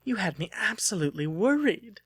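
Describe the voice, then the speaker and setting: Young female sweetheart, played by a man, audiobook. Raised, swooping pitch, excitable, tender and bossy. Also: normal speed, slightly rough, no echo, clean studio sound, heavily acted. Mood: emotional, relieved. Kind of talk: dramatic audiobook character voice.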